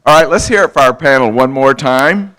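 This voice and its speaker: A man moderating a conference panel, joking and moving things along quickly. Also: mid-range voice, bright, forceful tone. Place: conference hall.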